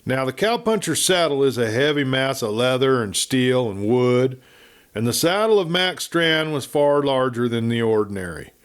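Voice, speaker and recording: Male audiobook narrator, mid-pitched, dramatic Western storytelling, measured theatrical phrasing. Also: sing-song, clear, resonant tone.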